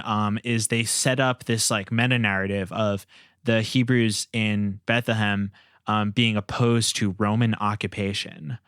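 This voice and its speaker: A man hosts a casual podcast discussion. His voice sits fairly low and is recorded close and dry. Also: fairly bright tone, clear, resonant tone, no room sound. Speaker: podcast host.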